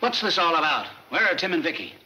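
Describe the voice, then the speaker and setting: An actor playing a brusque, excitable, blustery police chief in 1940s film serial style, on an old soundtrack. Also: male voice, fairly high pitch, quick pace, faint music behind, heavily acted, rough recording. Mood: brisk.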